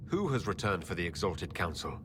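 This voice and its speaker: An actor playing a composed heroic leader in a video game, speaking courteously and diplomatically. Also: male voice, deep voice, big pitch swings, a little fast, background noise. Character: polite, composed heroic leader of a holy order. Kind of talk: video game dialogue acting.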